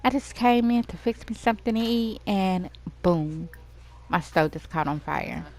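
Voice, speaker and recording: A woman's voice: mid-range voice, lively intonation, unhurried, darkish tone. Woman voicing a sheepish young woman downplaying trouble. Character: flustered young woman who burned her kitchen.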